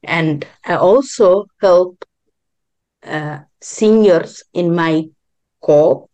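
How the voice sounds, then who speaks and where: Slow, low-voiced woman speaking deliberately. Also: warm tone, highs cut off. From born in Ukraine, grew up in Israel. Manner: deliberate, halting, non-native phrasing. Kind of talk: personal interview answers.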